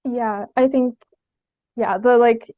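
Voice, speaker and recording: A woman's voice: fairly high pitch, fairly even intonation, slow, no room sound, narrow, tinny audio. A young woman answering interview questions in a hesitant, thoughtful, conversational way, often using "like" as filler. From Canada. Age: young adult. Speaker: podcast guest, theatre artist.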